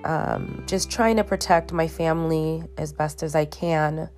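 A low-voiced woman hosts a solo podcast while sick, sounding tired and congested. She talks slowly and haltingly, restarting sentences and filling gaps with ums. Faint guitar music plays underneath. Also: steady tone, darkish tone. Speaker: podcast host. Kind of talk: casual solo podcast monologue. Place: her bedroom at home.

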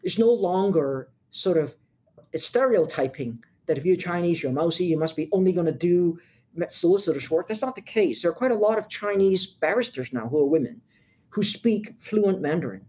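An older woman from Hong Kong, a lawyer, answering interview questions in a measured, frank way with emphatic points. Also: lowish voice, expressive, warm tone.

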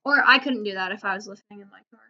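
A woman's voice: medium pitch, racing, sharp tone, dull audio. A young woman co-hosting a school podcast, chatty and teasing in casual talk. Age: young adult. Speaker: student podcast co-host. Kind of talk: casual chat.

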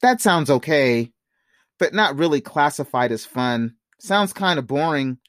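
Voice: medium pitch, expressive, measured pace, fairly bright tone, no room sound. Narrator playing an easygoing young man, close dry sound. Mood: casual. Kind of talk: audiobook character dialogue.

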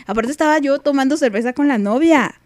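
A woman's voice: high-pitched, quick pace, a little mellow, dry recording. A young woman with a Mexican accent hosting a casual live radio podcast, playful and teasing, laughing often. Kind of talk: casual chat on a live stream.

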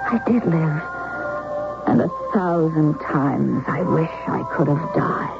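A woman's voice: sits low, slow pace, gravelly, highs cut off, exaggerated voice. A woman playing a lovesick, intense young woman who tells of her doomed romance in a dramatic, confessional radio drama style. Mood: wistful, confessional. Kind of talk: old-time radio drama, first-person narration and dialogue. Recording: thin old radio broadcast, music behind.

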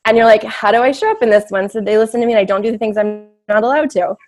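A woman's voice: fairly high pitch, racing, a little mellow. A woman with a big voice, giving candid, reflective interview answers drawn from her own experience. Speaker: interview guest.

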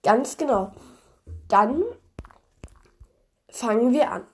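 A woman's voice: fairly high pitch, takes it slowly. A young woman hosting a podcast, chatty and rambling with many fillers, her voice nasal and congested from a cold as she retells a fairy tale. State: stuffy cold. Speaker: podcast host.

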